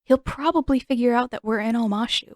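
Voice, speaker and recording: Woman reading as a caring teen girl, firm and sensible, a little higher than her own tone, dry close sound. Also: fairly quick, no echo, lightly acted. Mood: worried. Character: caring teenage girl, sensible older sister.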